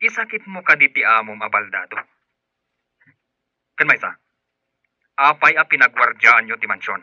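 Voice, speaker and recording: A mocking, suspicious man in an Ilocano radio drama. His mid-pitched voice is extremely sharp and forceful. He sneers and challenges over dull, telephone-like sound. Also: big pitch swings, a little slow, pauses often, no echo, heavily acted. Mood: demanding. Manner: confrontational, sneering. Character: suspicious, mocking male relative of the boss.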